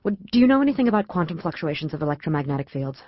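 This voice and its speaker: Anxious, educated scientist wife in audio drama: emotional, swooping delivery, slightly husky, close dry sound. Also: female voice, sits low, big pitch swings, dry recording, highs cut off. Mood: intent, explaining. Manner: emotional, halting, earnest. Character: distraught astrophysicist wife, anxious, educated, drinking, worried for husband. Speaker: actor in a radio play.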